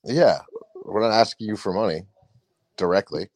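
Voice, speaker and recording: A man co-hosting a podcast, chiming in with quick jokes and frequent laughter. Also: lowish voice, no room sound. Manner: laughs often, quick joking asides. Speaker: podcast co-host. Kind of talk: casual podcast banter.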